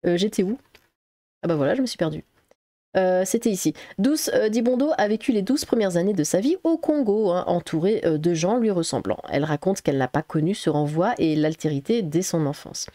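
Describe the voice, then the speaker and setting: A woman's voice: on the low side, animated, fairly quick, warm tone, dry recording. A woman from Alsace with a French accent, reading an article aloud as an online commentator and breaking in with sarcastic, exasperated asides. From Alsace, France.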